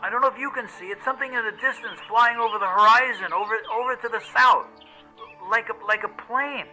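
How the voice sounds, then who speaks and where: An actor playing an excitable expedition partner calling in over a two-way radio, urgent and stammering, in a radio drama. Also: male voice, extremely high pitch, fairly quick, faint music behind, exaggerated voice, rough recording. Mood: astonished.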